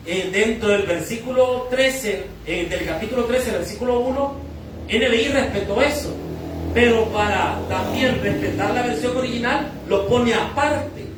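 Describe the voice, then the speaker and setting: High-voiced Salvadoran pastor in echoey hall. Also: male voice, slight background noise. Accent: Salvadoran Spanish. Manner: teaching, asks and answers his own questions. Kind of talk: sermon. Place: church hall. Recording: echoey church hall from far off.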